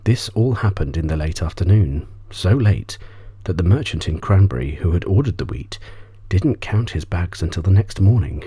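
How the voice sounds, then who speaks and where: A man reads an audiobook as an ASMR bedtime story, soft-spoken and often trailing off into a whisper. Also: low-pitched, animated, normal speed, few pauses, husky, dry recording, studio quality. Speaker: audiobook reader.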